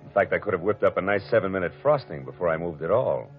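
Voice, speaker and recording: An actor playing a tough, wisecracking private detective, delivering noir lines in 1940s radio style. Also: male voice, low voice, animated, fast. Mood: wry narration. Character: hard-boiled, wry male private detective. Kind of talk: 1940s radio acting, first-person noir narration. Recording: dull old radio recording with music.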